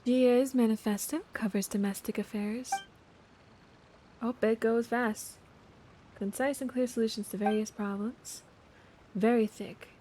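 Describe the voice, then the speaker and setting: Calm, laid-back woman streamer. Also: a little high, unhurried, long pauses, background noise. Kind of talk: casual game livestream chat, reading game text aloud.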